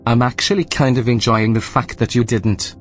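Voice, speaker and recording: An actor playing a composed, snide artificial intelligence running a station in a sci-fi audio drama, speaking in a precise, formal, deadpan way. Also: male voice, medium pitch, normal speed, faint music behind, close to an everyday voice. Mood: dryly amused. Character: dry, snide male AI station supervisor, composed.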